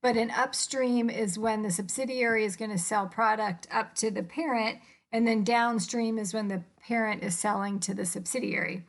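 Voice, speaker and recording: A woman's voice: fairly high pitch, fairly even intonation, moderate pace, creaky. An American woman giving an informal, explanatory lecture as an instructor, in colloquial language with casual check-ins. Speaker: accounting instructor.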